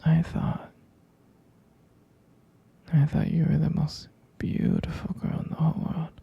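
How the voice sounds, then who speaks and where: Man playing a whispery, gravelly, sleepy vampire boyfriend. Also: fairly high pitch, flat delivery, measured pace, highs cut off, heavily acted. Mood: fond, reminiscing. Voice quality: breathy, gravelly half-whisper. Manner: hesitant, murmuring, tender, casual. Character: gentle, sleepy vampire boyfriend comforting his partner at bedtime. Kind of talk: intimate ASMR boyfriend roleplay.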